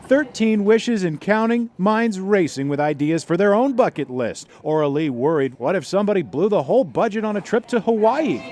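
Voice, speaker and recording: A man's voice: high voice, lively intonation, normal speed, hardly any pauses, a little mellow. A man reading scripted narration for a human-interest television feature in a folksy, storytelling manner. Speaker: feature reporter.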